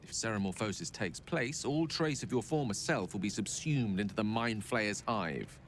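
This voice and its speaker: Eloquent, animated, self-assured wizard. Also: male voice, lowish voice, normal speed, a little hiss or hum. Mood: thoughtful, reassuring. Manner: eloquent, theatrical, pompous phrasing. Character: erudite, self-assured male wizard, genial and wordy. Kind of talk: video game character acting.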